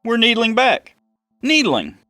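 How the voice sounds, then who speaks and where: A man doing a swaggering, high-pitched preacher hustler. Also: wildly swooping pitch, unhurried, pushed and bright, no room sound, exaggerated voice. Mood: irritated, smug. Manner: booming, pushy, cocky. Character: brash, boastful male hustler preacher, bullying and swaggering. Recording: music bed under the voice.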